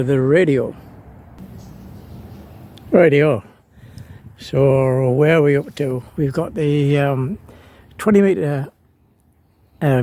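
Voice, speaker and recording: Slow, creaky-voiced man thinking aloud. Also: a little high, mellow. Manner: hesitant, thinking aloud as he works. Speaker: amateur radio hobbyist demonstrating his setup. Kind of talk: unscripted how-to vlog narration.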